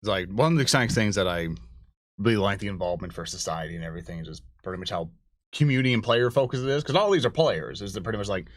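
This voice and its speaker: A male stream host with a fairly low voice chats casually. His phrasing is loose and rambling, and the recording is dry and free of echo. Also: lively intonation, quick pace. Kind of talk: casual chat.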